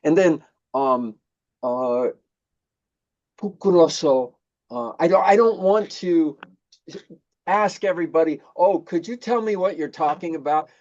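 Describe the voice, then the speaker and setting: A man's voice: a little high, a little mellow, dull audio. A man, a native English speaker, hosting a casual conversation. He talks thoughtfully and hesitantly, with many fillers when he switches to Korean. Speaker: host.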